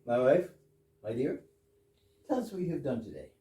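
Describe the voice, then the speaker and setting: A man's voice: mid-range voice, very animated, normal speed, dark tone. A man acting a pompous husband in a staged comedy reading, explaining things with theatrical emphasis. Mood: prompting. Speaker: amateur readers theater actor. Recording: echoey room, far mic, background noise.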